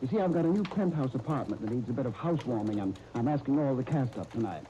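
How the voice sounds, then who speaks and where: A man plays a slick, genial boss in a 1930s radio serial, eager to please. His tone is very mellow and rounded. The old disc recording is dull, with a faint hiss. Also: medium pitch, animated, fast, lightly acted. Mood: eager, jovial. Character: genial, over-eager male show boss, slick and chuckling.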